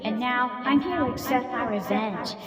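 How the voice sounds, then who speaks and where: A woman voicing a vengeful, mocking female cartoon cat villain with a taunting, drawn-out delivery. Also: fairly high pitch, expressive, lightly acted. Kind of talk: amateur animated villain drama. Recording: long reverb, music behind.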